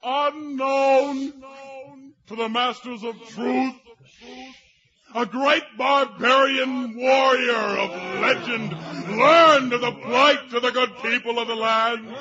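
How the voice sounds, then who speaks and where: A man playing a grandiose storyteller, narrating a dark barbarian legend in a theatrical, drawn-out way. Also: very high voice, fairly even intonation, highs cut off, a crowd in the background, full character voice. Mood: fierce, booming. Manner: theatrical, bombastic, drawn-out delivery. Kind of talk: dramatic fantasy storytelling narration.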